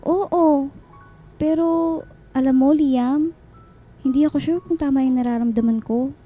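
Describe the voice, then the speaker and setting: A young Filipino student in a radio drama, chatty yet unsure of her heart. Her voice is very high and gently mellow, with light music underneath. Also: unhurried. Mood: unsure, confiding. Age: young adult. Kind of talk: radio drama acting with English code-switching.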